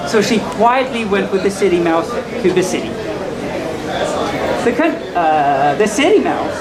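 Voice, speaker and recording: An androgynous-sounding storyteller tells a bedtime tale live in an informal way. The delivery is halting, with repeated and corrected phrases. The large indoor room is full of loud crowd noise. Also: mid-range voice, very animated. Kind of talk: informal storytelling to an audience, with asides.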